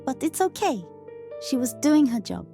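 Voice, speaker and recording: A woman playing a gentle, remorseful young fighter-plane spirit in an audio drama, speaking softly, earnestly and haltingly. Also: sits high, sing-song, faint music behind. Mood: pained, calm.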